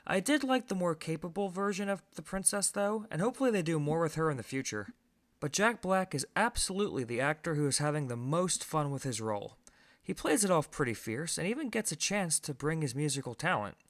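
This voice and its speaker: A man's voice: fairly high pitch, expressive, a little fast, fairly bright tone. A man giving a scripted film review as host, conversational and opinionated, with emphatic stresses.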